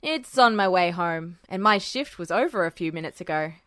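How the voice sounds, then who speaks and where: Wry, kindly cop for a story reading woman performing as a man: low, gruff, conspiratorial, dry sound. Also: expressive, normal speed, a bit bright, no room sound. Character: gruff, wry, kindly male police officer and father. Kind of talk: fan fiction audiobook character voice.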